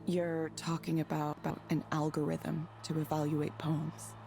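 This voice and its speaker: A woman's voice: sits low, monotone, measured pace, gravelly, music in the background. A woman playing a guarded, skeptical tech researcher in a visual novel, speaking in a restrained, direct, questioning way.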